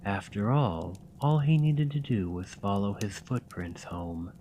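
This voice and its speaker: Man, low voice, unhurried and soothing, ASMR storytelling. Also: expressive, a little hiss or hum. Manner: soft, gentle, soothing reading. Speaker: ASMR roleplay partner reading a story.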